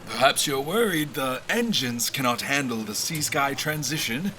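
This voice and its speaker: Haughty, animated general. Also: male voice, mid-range voice, normal speed, a little hiss or hum. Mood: needling, insistent. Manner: formal, imperious, wordy. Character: haughty, formal male general and royal officer. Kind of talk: audio drama acting.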